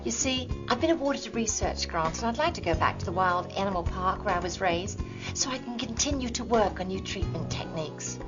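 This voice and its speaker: An actress playing a stern, sardonic female head of an animal hospital in a video game, with theatrical, drawn-out emphasis. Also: medium pitch, animated, natural voice. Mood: formal approval. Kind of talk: scripted video game cutscene acting. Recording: dull lo-fi game audio, loud music.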